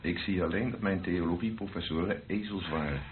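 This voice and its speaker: Guy acting a troubled tender priest in a radio play, real deep voice, muffled noisy old recording. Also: fairly quick, natural voice. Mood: tender, defiant. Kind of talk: Dutch radio drama acting.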